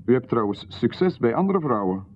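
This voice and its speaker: A man's voice: mid-range voice, very animated, normal speed, a little mellow, slight background noise, close to an everyday voice. An actor playing a pushy, overly familiar male stranger in a radio drama, insistent and ingratiating, with stammered restarts and little chuckles. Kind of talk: 1990s radio drama acting. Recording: lo-fi radio broadcast, phone-like band.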